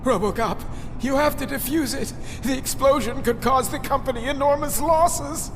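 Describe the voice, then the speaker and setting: Very high-pitched fussy bank employee. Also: male voice, normal speed, music in the background. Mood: panicked. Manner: fussy, formal, excitable. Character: prissy, fretful, loyal male bank employee. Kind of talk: video game voice acting. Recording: echoing hall, clearly noisy background.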